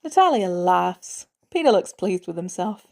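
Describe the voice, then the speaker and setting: A woman's voice: lowish voice, very animated, unhurried, creaky, a little mellow. A woman reading fiction aloud as a narrator, in scripted story narration. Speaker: audiobook-style fiction reader.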